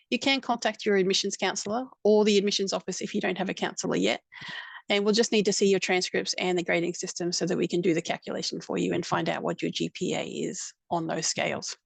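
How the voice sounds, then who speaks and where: A woman's voice: medium pitch, flat delivery, fast, gravelly, no echo. A woman working as an admissions counsellor, explaining entry requirements in a friendly, plain way over a video call.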